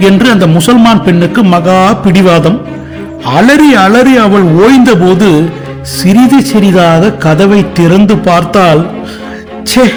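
Earnest young Italian, high-pitched, indignant, with quiet music under. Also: male voice. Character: eager young Italian man, earnest and outspoken. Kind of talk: radio drama acting.

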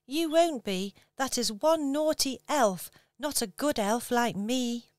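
A prim, cheerful little female elf, voiced by a woman, helpful and good-natured in a radio pantomime. The voice is fairly high and sounds dry and close to the mic. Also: slow, no room sound.

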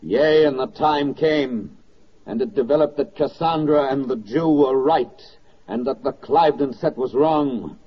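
Solemn 1940s radio narrator, dull recording. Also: male voice, mid-range voice, breathy edge. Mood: grave, biblical. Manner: declamatory, dramatic pauses. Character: solemn, oratorical male narrator of wartime victory.

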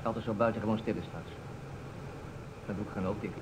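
An actor playing a pessimistic police inspector in a radio drama, grumbling in clipped phrases. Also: male voice, lowish voice, steady tone, fairly quick, lightly acted. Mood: matter-of-fact. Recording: muffled, noisy old radio recording.

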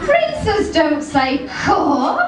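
A woman's voice: extremely high pitch, big pitch swings, very slow, a crowd in the background. A woman narrating a stage pantomime and proclaiming announcements, recorded in an echoey hall. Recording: echoey hall, distant mic, very noisy audience.

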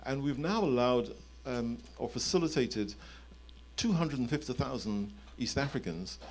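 A man's voice: medium pitch, lively intonation, unhurried. A man in his fifties speaking as an executive panelist, explaining concrete points at a conference into a handheld microphone. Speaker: panelist, company chief executive. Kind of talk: panel discussion talk, explaining. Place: indoor conference event on a stage.

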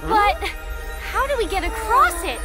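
A woman's voice: very high voice, big pitch swings, moderate pace, over loud music. A woman voicing a brave, eager young little bus in a children's cartoon, with a childlike, exclamatory delivery. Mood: earnest.

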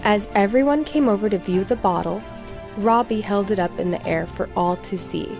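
A woman reading a children's storybook aloud in scripted narration, expressive and clearly phrased for young listeners. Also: mid-range voice, moderate pace, faint music behind.

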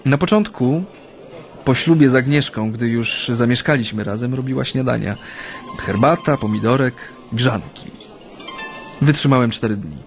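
A man's voice: mid-range voice, normal speed, lightly acted. An actor playing a genial Japanese man reminiscing hesitantly and reflectively about his life, in a conversational radio drama. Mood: nostalgic, reflective. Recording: studio radio play with music.

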